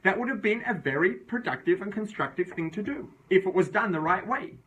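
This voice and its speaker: A man's voice: high voice, expressive, brisk, a bit bright, muffled recording. A man teaching an informal religious class, reasoning aloud in a conversational way and often checking in with his listeners. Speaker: Torah teacher.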